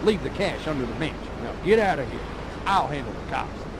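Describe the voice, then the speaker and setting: A man's voice: on the high side, expressive, a little fast, noisy background. A man playing a grouchy, blustering American army veteran and gun dealer in a video game. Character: gruff, grumbling one-armed army veteran gun dealer. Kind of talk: video game cutscene acting.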